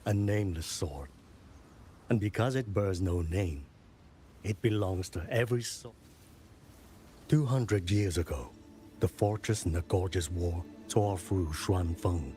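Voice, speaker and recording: Very deep, slow village elder. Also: male voice. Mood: solemn. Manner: slow, solemn, measured. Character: grave, gentle elderly male village chief. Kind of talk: scripted game dialogue. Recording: loud background music.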